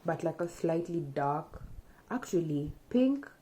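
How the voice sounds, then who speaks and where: Low mellow young woman vlogger. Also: takes it slowly. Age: young adult. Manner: relaxed, chatty, thinks aloud with fillers. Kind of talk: casual chat, answering tag questions. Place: small room indoors. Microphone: camera in front at close range.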